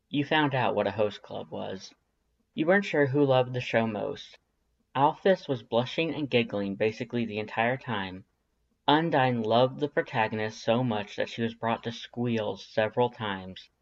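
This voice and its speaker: A man reading scripted second-person fiction as an audiobook narrator. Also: medium pitch. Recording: slightly dull lo-fi audio.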